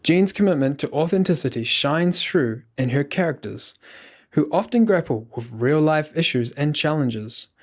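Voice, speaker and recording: Man, narrator, fairly high voice, scripted documentary read. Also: expressive, moderate pace.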